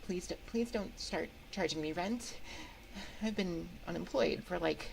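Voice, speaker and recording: A woman's voice: fairly low pitch, measured pace, creaky, rough recording. A woman voicing a timid, awkward female shade in an ASMR roleplay, stammering and rambling nervously into a close microphone. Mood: awkward, sheepish. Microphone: close binaural microphone.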